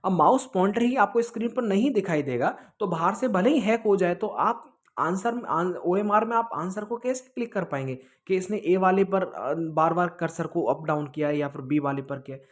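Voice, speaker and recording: A man's voice: high voice, normal speed, hardly any pauses. Man teaching an informal online class. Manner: explanatory, repeats himself, with check-in tags; mixes English words into speech. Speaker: exam coaching teacher. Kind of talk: informal online lesson, answering student questions.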